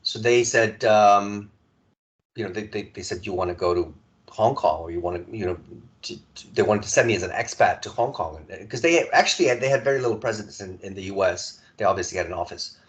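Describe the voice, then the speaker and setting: Male guest, 40s, from Serbia; low voice, rambling, halting conversational telling. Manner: halting, many restarts and repeated words. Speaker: podcast guest. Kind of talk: casual conversational storytelling.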